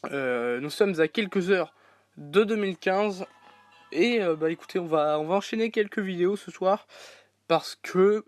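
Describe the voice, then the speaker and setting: High-voiced French man with a cold. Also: a little slow, faint music behind. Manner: rambling, many fillers and restarts. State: congested with a cold. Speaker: gaming YouTuber. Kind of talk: casual gameplay commentary.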